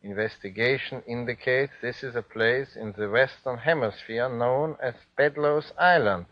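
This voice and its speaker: Male actor as excitable Nazi officer, theatrical and incredulous, mid-range voice, dull dry vintage radio. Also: mid-range voice, moderate pace, dry recording, close to an everyday voice. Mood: hesitant, bewildered. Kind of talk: 1940s radio drama acting.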